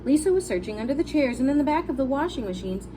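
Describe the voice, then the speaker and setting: Very animated woman reading to young children. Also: high voice, quick pace, background noise. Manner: explains words simply as she reads. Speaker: storybook reader. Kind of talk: expressive read-aloud for young children.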